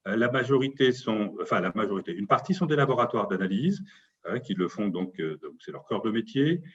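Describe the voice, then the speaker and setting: Husky man explaining, dull recording. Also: lowish voice, lively intonation, brisk, fairly bright tone. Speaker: doctor and local elected official. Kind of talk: explanatory interview answers.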